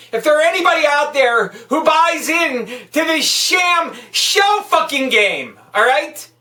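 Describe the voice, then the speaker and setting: A man's voice: very high voice, bright, forceful tone. A man hosting his own online show, speaking in an emphatic, profane way. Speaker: online radio show host. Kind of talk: political rant and show announcements. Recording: roomy echo, distant from the mic.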